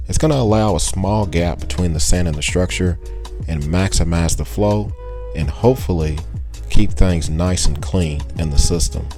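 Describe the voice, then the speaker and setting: A young man in a relaxed, chatty voice-over, giving hobby tips with the odd small laugh. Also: low-pitched. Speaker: aquarium hobbyist vlogger. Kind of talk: casual how-to voice-over.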